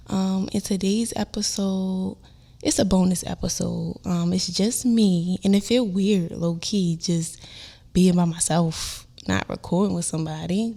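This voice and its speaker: Stuffy-nosed young woman, relaxed podcast chat. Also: medium pitch, creaky. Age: young adult. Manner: chatty, warm, lots of fillers like "um" and "like". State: stuffy nose from a cold. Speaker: podcast host. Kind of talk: casual solo podcast chat. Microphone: on-camera podcast setup.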